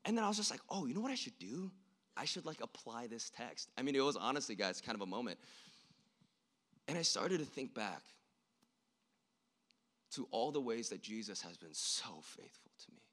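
A young man preaching a sermon in an informal, slangy way, swinging between hushed and impassioned delivery. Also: mid-range voice, very animated, moderate pace, long pauses. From born in South Korea. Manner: informal, youthful slang, frequent "like" and rhetorical questions.

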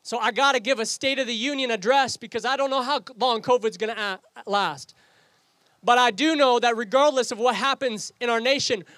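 High-voiced pastor preaching, Canadian accent. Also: male voice, a bit bright, no room sound. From England. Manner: earnest preaching, repeats and restarts phrases. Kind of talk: sermon. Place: outdoor service.

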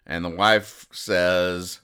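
A man hosting a comedy podcast, reading out comic strips and explaining them in a deadpan, over-literal way. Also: sits low, extremely slow, clear, resonant tone. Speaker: podcast host.

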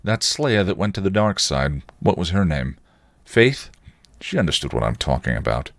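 A cocky, passionate vampire voiced by a man reading a story. He goads and presses insistently in a low, dry-sounding voice with animated swings of pitch. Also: moderate pace, creaky, no echo, full character voice. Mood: goading. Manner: provocative, insistent, goading. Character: cocky, taunting, passionate male vampire with British slang.

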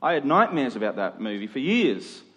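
A man's voice: mid-range voice, sing-song, moderate pace, a bit bright. A middle-aged man preaching in a conversational expository style, using rhetorical questions and emphatic repetition. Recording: long hall reverb.

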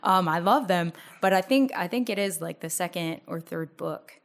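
A woman's voice: fairly low pitch, normal speed. A woman co-hosting a podcast, chatting casually and agreeably, often chiming in with short affirmations. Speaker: podcast co-host.

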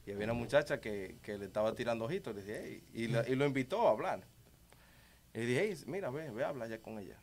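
A man's voice: mid-range voice, animated, fast talker, darkish tone. A man from the Dominican Republic with a Dominican Spanish accent, speaking conversationally and emphatically about religion, repeating key words for stress.

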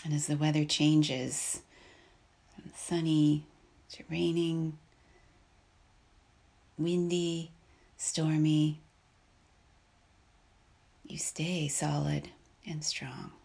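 A woman guides a meditation in a low, gravelly voice. She speaks softly and breathily, with a husky edge. Her phrases come very slowly and calmly, spaced out by long pauses. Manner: calm, unhurried, measured with long pauses.

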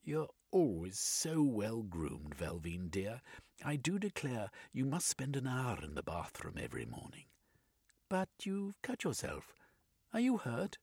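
A man's voice: medium pitch, wildly swooping pitch, unhurried, gravelly, darkish tone, husky, no echo, clean studio sound, voice pushed up, heavily acted. A man voicing a doting, old-fashioned genteel lady who coos over her companion. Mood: doting concern.

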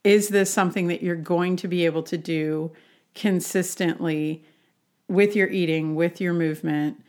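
Low-pitched woman coaching conversationally. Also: steady tone, normal speed, a little mellow. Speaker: health and wellness coach. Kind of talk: conversational explanatory coaching talk.

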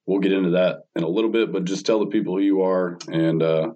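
A man with a very low, hoarse voice hosts a relaxed podcast, ribbing friends with profane banter. The sound is dull and lo-fi. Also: fast talker. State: hoarse, lost voice from yelling. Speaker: podcast host. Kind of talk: casual podcast chat.

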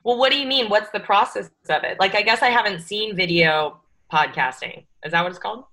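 A woman's voice: mid-range voice, brisk, bright, forceful tone, poor audio. A woman guest on a podcast, an energy coach who runs her own podcast, chatting casually and openly over a video call. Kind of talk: casual interview chat.

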